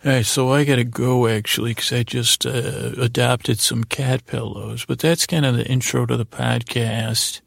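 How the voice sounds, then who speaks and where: A man's voice: medium pitch, steady tone, normal speed, vocal fry, few pauses, a bit bright, breathy edge, dry recording, clean studio sound. A man hosting a podcast with a meandering, hesitant bedtime monologue that is meant to lull. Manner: meandering, hesitant, self-interrupting. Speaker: podcast host. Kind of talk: rambling, lulling bedtime monologue.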